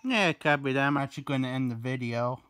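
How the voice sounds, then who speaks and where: A man hosting a casual chat over a push-to-talk app, relaxed and easygoing. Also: medium pitch, quick pace. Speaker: video host.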